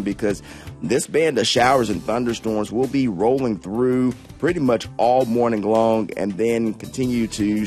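A male meteorologist delivers the weather in a friendly, chatty radio style. His voice sits a little low, with faint music in the background of the studio. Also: darkish tone. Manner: upbeat and chatty. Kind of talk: casual radio weather report.

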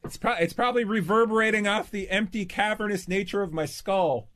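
A man's voice: high voice, brisk, fairly bright tone. A man hosting a radio show in a studio, bantering with a caller and cracking self-mocking jokes. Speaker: radio host.

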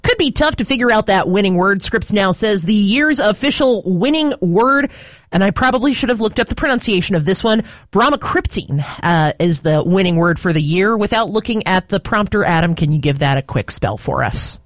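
Lively chatty news anchor. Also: female voice, mid-range voice, moderate pace, no echo, studio quality. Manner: lively, chatty, jokey asides. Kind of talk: morning news anchoring with casual banter.

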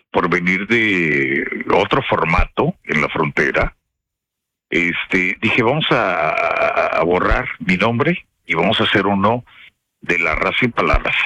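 Bright-voiced northern Mexican man telling stories. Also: deep voice, no room sound. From Piedras Negras, Mexico. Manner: colloquial, expressive storyteller with slang. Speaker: radio broadcaster, interview guest. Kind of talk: casual anecdotal interview.

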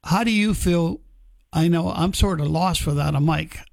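A man's voice: on the high side, normal speed, vocal fry, no room sound, clean studio sound. An older American man hosting a radio interview in a casual, chatty style.

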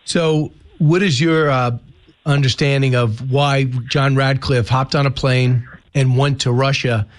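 A man's voice: medium pitch, steady tone, measured pace. A man hosting a talk radio show, asking guests conversational, leading questions.

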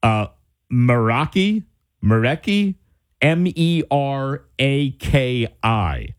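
A man's voice: medium pitch, big pitch swings, drawn-out words, dry recording, studio quality. A man hosting a call-in radio show, chatting casually with callers, laughing easily and using plenty of fillers. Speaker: radio host. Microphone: studio microphone.

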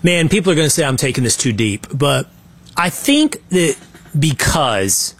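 A man's voice: fairly high pitch, sing-song, a little slow, a bit bright, breathy edge. A man from Texas with a faint Texan accent, hosting a casual panel chat and giving his opinions. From Texas, USA. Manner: conversational, opinionated, with restarts. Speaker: show host.

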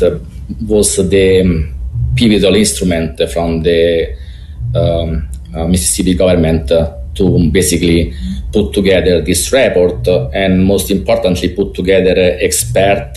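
A man with a thick Italian accent, a native Italian speaker, explaining as an expert guest in a halting, hesitant way. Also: low voice, darkish tone. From Italy. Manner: halting, hesitant delivery with throat clearing. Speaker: geologist and professor, interview guest. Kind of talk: explanatory interview answers. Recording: echoey room with faint noise.

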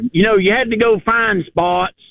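A rambling man with a twang and a gravelly, fairly high voice. He fills every pause with you-knows. The sound is dry and a little dull. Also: no echo. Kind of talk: casual chat.

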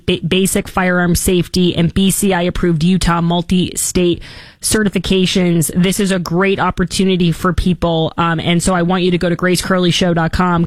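A woman's voice: lowish voice, no echo. Upbeat woman radio host. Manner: upbeat, promotional. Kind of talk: talk radio interview.

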